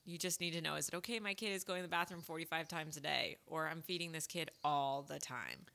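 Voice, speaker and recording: A woman's voice: low voice, fast talker, gravelly. A woman in her forties, a doula, chatting in an interview and animatedly telling stories. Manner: animated storyteller, acts out quoted voices. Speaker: doula, guest. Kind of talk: casual interview chat.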